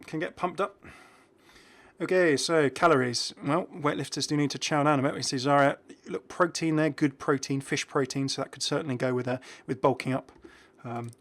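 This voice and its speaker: A man's voice: fairly high pitch, moderate pace, a bit bright. A man hosting a gaming video with casual, informal and enthusiastic commentary.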